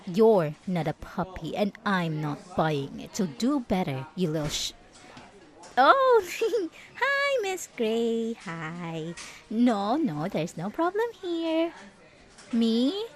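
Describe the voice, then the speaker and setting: A woman's voice: mid-range voice, very animated, takes it slowly. A woman acting out a possessive, jealous schoolgirl bully in a roleplay audio drama, taunting and sarcastic with sugary false politeness. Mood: falsely sweet, flustered.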